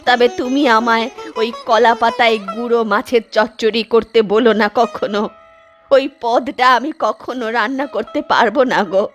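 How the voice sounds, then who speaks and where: A woman's voice: a little high, fairly even intonation, moderate pace, faint music behind, lightly acted. A woman voicing a grieving, affectionate old village aunt in a cartoon, with a plaintive, homely manner. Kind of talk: cartoon voice acting.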